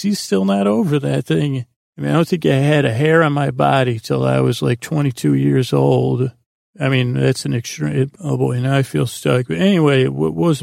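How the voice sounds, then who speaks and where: A man with a gravelly, creaky voice hosting a bedtime podcast in a meandering, halting monologue that trails off mid-thought. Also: mid-range voice, darkish tone, dry recording, studio quality. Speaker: podcast host.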